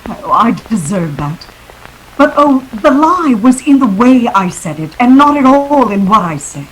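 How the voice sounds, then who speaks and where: Lady doing a helpless femme fatale from old radio drama, slow n hesitant, highish swoopy voice, throbbing. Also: big pitch swings, slightly rough, exaggerated voice, low-quality recording. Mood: pleading. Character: pleading, breathy femme fatale client, slyly helpless. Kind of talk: 1940s radio melodrama acting.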